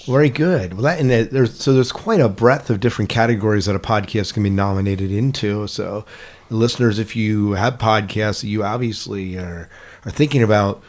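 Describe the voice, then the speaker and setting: A man hosting a casual podcast interview, affirming answers, recapping them and posing questions. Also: fairly low pitch.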